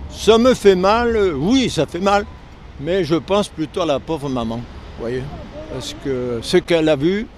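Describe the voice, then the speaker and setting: A man's voice: on the high side, lively intonation. Older man with a Lozère accent. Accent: Lozère, southern French. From Lozère, southern France. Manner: earnest, halting when emotional. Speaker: interviewee, retired air force serviceman. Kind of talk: street interview. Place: outdoors on a city street.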